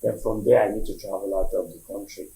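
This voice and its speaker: Male musician guest, Nigerian accent, lowish gravelly voice, casual storytelling with repeated phrases. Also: steady tone, quick pace, dark tone, rough recording. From Lagos, Nigeria. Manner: repeats himself, often checks listener understands.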